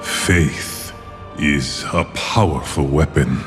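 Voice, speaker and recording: Slow, deep, solemn fantasy storyteller. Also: male voice, music in the background. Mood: solemn, grave. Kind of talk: dramatic scripted narration for game cinematic.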